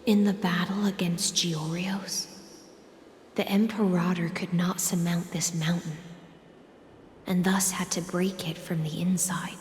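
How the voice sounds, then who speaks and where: Actress doing grave fantasy game warrior lady, lowish voice, slow n measured, big reverb. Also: flat delivery, darkish tone, a little hiss or hum, close to an everyday voice. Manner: measured, solemn, formal. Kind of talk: dramatic video game acting. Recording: echoey long reverb, music behind.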